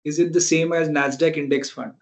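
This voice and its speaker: A man's voice: a little high, steady tone, brisk. A man with an Indian accent moderating a conference call and reading questions aloud. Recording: dull, lo-fi conference call audio.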